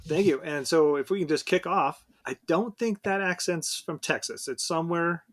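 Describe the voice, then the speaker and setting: A man's voice: a little high, moderate pace. A man hosting a podcast, interviewing a guest in a friendly, rambling way. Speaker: podcast host.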